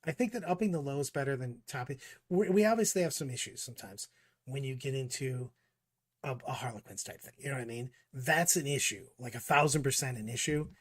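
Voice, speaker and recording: A man's voice: medium pitch, brisk. A man co-hosting a casual tabletop gaming discussion, talking emphatically and repeating words as he starts his points. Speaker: podcast co-host. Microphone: studio mic.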